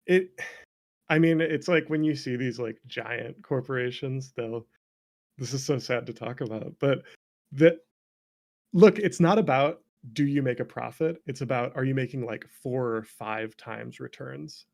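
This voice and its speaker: A man speaking as a game developer guest in a casual conversation, candid and animated, and quick to laugh. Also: medium pitch, vocal fry.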